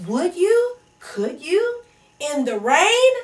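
A woman's voice: very high-pitched, very animated, drawn-out words, a little hiss or hum, heavily acted. A woman voicing a cheerful, persistent storybook pest who coaxes and keeps asking questions. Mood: coaxing. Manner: coaxing, questioning. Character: persistent cheerful pest pushing food on someone. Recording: echoey room, distant microphone.

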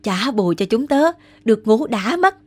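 A woman's voice: fairly high pitch, sing-song, measured pace, a little mellow. Woman voicing a chatty young cartoon forest animal. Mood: sympathetic. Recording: music behind the voice.

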